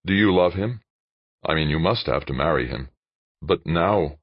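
A man's voice: deep voice, lively intonation, normal speed, husky, no echo, highs cut off, heavily acted. A man playing a frustrated, bitter jilted lover in an audiobook, grumbling as he talks. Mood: uneasy, probing. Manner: grumbling, muttering. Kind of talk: audiobook character dialogue.

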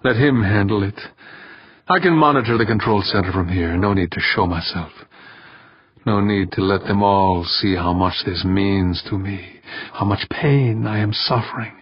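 A proud, weary leader , acted out by a male narrator, broods over hidden suffering. His voice is low and husky, recorded close and dry with slightly dulled sound. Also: moderate pace, no room sound. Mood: brooding resignation. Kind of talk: audiobook character dialogue. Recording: dull, muffled lo-fi audio.

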